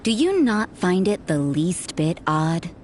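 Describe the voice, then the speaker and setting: A woman's voice: on the low side, big pitch swings, slow, darkish tone, slight background noise. A woman playing a cool, sardonic, suspicious church sister in a video game, with a dry, cynical, interrogating manner. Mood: dry, taunting.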